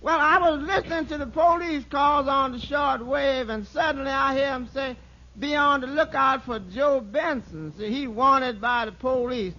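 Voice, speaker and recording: An actor playing a shifty, self-satisfied crony in a 1940s radio sitcom, telling tales in an excitable, folksy, ungrammatical way. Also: male voice, very high voice, normal speed, heavily acted. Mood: earnest storytelling. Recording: muffled, noisy old radio recording.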